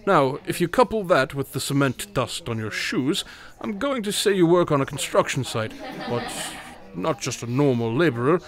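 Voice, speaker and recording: A brisk, slightly pompous detective voiced by a man lays out his deductions in rapid, assured sentences. His voice sits a little high for a man. It has the polished delivery of a studio radio play. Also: animated, crowd noise, natural voice. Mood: lecturing. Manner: rapid, confident reasoning, a bit pompous. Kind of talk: audio drama acting.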